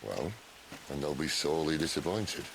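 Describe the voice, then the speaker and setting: An actor playing a worldly, dry-witted outlaw leader, speaking in a wry, unhurried way. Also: male voice, rumbling low, sing-song, gravelly, background noise, full character voice.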